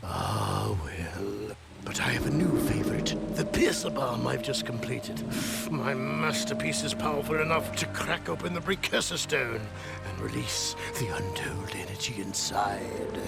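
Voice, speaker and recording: Greedy gangster weapons dealer: very croaky, animated, theatrical video game baddie. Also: male voice, medium pitch, a little slow. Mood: smug. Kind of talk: video game villain acting. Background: loud game music.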